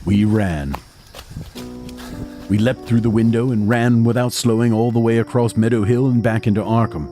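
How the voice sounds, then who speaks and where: An actor playing a somber narrator who recounts his past in a measured, literary horror audio drama. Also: mid-range voice, steady tone, dark tone, close to an everyday voice. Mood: grave, reflective. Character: somber male narrator recalling his gruesome experiments. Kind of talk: scripted first-person horror narration. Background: music.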